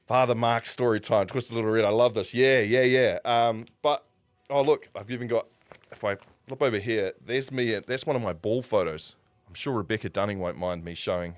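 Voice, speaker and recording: A man's voice: on the low side, normal speed, no room sound. A man in his forties with a New Zealand accent, telling stories in a casual, rambling, conversational way on a live stream. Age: 40s. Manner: rambling, conversational, self-correcting. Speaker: streamer and broadcaster.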